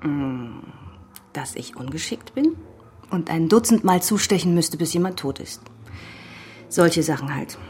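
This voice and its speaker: An actress playing a very young, petite woman artist in a radio drama, speaking softly and deliberately, tender and provocative with a bitter edge. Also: deep voice, lively intonation, slight background noise. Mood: cool, sardonic. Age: young adult.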